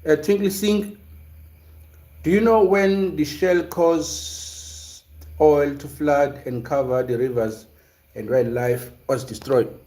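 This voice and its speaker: A man from South Africa talks casually on a livestream, often pausing and restarting mid-sentence. His voice sits a little high for a man. The room gives it a noticeable echo. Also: fairly even intonation, warm tone. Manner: hesitant, many fillers and restarts. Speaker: livestream host. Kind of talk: casual livestream commentary reading chat. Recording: roomy echo.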